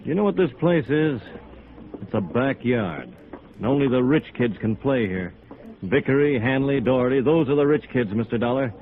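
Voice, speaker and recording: A man's voice: medium pitch, expressive, moderate pace, pauses often, natural voice. Bitter outcast played by a man, tinny radio. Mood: bitter, resigned. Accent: American. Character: bitter, beaten-down male builder turned outcast. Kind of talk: 1950s radio drama acting. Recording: thin, tinny old radio recording.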